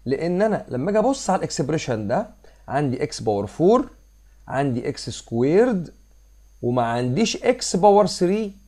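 Egyptian guy teaching math, bit hoarse from a cold, fast, warm low tone, step by step. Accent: Egyptian Arabic. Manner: explains step by step, mixing English math terms into Arabic. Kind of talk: screen-recorded math lesson. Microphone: voice-over on a screen recording.

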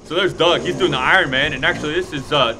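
A young man riding a dirt bike on a wooded trail, rambling excitedly about a race he rides in. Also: a little high, fast. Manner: excited, rambling, cheering on riders. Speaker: motovlogger. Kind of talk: casual race motovlog commentary. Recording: helmet cam with loud engine noise.

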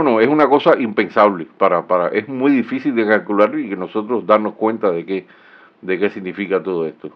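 A middle-aged man with a Cuban accent giving solo political commentary, sarcastic and indignant, with many fillers and restarts, recorded at home on a studio mic. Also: on the low side. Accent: Cuban Spanish. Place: small home room. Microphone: studio mic with headphones. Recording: dull, lo-fi sound.

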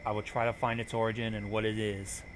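A man's voice: lowish voice, little pitch movement, quick pace, a little hiss or hum. A man hosting a reaction show, reading on-screen text aloud and adding commentary.

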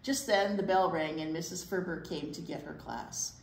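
Older female storytime reader for children: lower-pitched, expressive, recorded in a roomy space. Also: a little hiss or hum. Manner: expressive, pausing for asides to young listeners. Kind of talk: reading a picture book aloud to children. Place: indoor library storytime set. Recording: roomy indoor sound.

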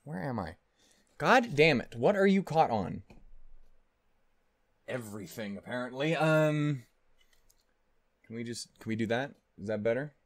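A man's voice: mid-range voice, big pitch swings, no room sound. Dry-miked man rambling casually. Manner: sweary, flustered, halting and digressive. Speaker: reaction video host. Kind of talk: casual rambling reaction commentary. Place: small furnished room at home, indoors. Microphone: close desk condenser microphone.

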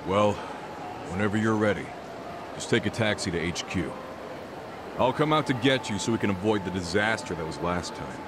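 Actor doing exasperated gangster little brother, low male voice, blunt and sweary, game cutscene, loud street noise behind. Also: lively intonation, moderate pace, natural voice. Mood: businesslike. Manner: blunt, nagging, profane. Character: exasperated gangland younger brother, blunt loyal planner. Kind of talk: dramatic video game cutscene dubbing.

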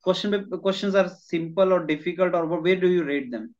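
A man with an Indian accent and a high-pitched voice conducts a conversational interview. He rephrases his questions as he goes and slips Hindi words into his English. The sound is dull and muffled, like a video call. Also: brisk, darkish tone. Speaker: interviewer, educator. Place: classroom in front of a chalkboard.

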